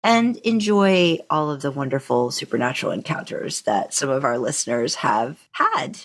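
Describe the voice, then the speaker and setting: A woman's voice: a bass voice, animated, normal speed. A woman co-hosting a podcast, chatting casually and informally as she presents and interviews.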